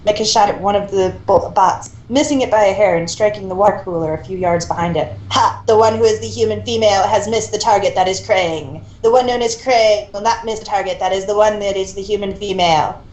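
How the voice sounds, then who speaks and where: A woman's voice: medium pitch, fairly quick, hardly any pauses, darkish tone. A woman reading a story aloud in an amateur style, with casual asides. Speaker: co-reader of fan fiction. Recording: echoey room with faint noise.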